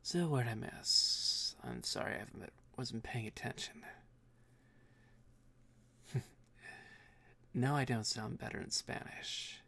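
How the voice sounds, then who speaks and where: A man streaming as he edits a comic, muttering quietly to himself and answering chat in a casual way. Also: medium pitch, big pitch swings, slow, vocal fry, pauses often.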